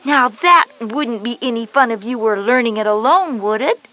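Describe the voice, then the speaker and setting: A woman's voice: fairly high pitch, expressive, moderate pace, sharp tone, poor audio. A woman voicing a confident schoolgirl big sister, instructive and encouraging, in a children's educational audio drama. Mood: explaining.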